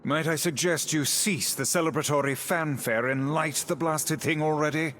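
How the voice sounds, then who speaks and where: A man's voice: fairly high pitch, moderate pace, a little hiss or hum, lightly acted. A man playing a haughty talking magic book companion in a video game, speaking in formal, archaic, learned phrases. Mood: wry, haughty. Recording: loud music behind the voice. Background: loud game music.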